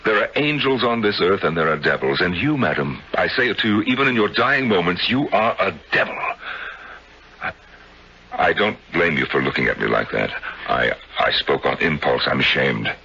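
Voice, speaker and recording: An actor playing a tormented, impulsive man in a 1970s radio drama, intense and halting. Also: sits low, expressive, creaky, pushed and bright, full character voice. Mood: ashamed. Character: tormented, accusing man, impulsive then ashamed. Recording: dull, noisy radio recording.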